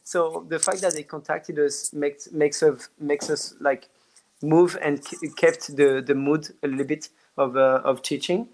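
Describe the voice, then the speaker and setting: A man with a French accent chatting in an interview as a dance teacher. He talks haltingly over a video call, often restarting and filling gaps as he gathers his thoughts. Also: on the high side, fairly even intonation, slight background noise, poor audio. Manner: halting, many restarts and fillers. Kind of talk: casual interview chat.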